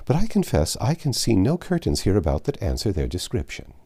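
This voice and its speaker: A man's voice: lowish voice, wildly swooping pitch, fairly quick, mellow, husky, heavily acted. A man voicing a genteel, composed older lady from a Regency novel, chatting politely in wordy, formal sentences. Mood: composed, explanatory.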